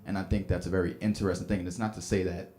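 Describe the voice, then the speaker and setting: A man's voice: sits low, little pitch movement, racing, dark tone, a little hiss or hum. A man in the audience asking a panel a question, rambling with many fillers and restarts. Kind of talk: unscripted question from the floor. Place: event hall with audience. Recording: echoey room.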